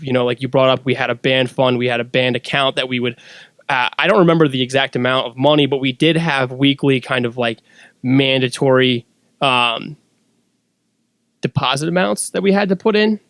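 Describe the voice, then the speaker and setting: A man's voice: medium pitch, fairly quick, clean tone, no room sound. A man telling stories in a casual, wordy, informal way as a podcast guest. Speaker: podcast guest and former band member. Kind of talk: casual conversational storytelling.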